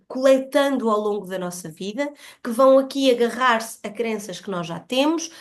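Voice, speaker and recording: Female podcast guest, European Portuguese accent, fairly high pitch, earnest explanatory delivery in reflective conversation.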